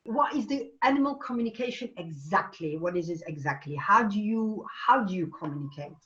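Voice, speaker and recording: Woman interviewer, curious, brief affirmations, mid pitch, muffled lo-fi call sound. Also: animated, brisk, fairly bright tone. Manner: short affirmations, curious questions. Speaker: interviewer, host. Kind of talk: casual interview questions.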